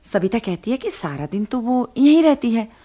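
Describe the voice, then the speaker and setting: Radio drama wife and mother: earnest, principled, argumentative, animated intonation, faintly husky. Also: on the high side, normal speed. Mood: persuading, indignant. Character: middle-class wife and mother, caring, reproachful, principled. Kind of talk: Hindi radio drama acting.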